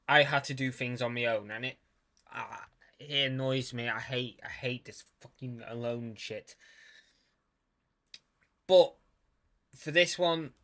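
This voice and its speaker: Stuttering young man, bright voice. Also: medium pitch. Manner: stutters, restarts, swears casually. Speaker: student filmmaker. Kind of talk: casual commentary on his own film.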